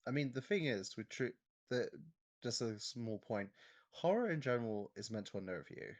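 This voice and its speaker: A podcast co-host in casual discussion, explaining a point in a tentative, halting way. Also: medium pitch, lively intonation, lo-fi sound.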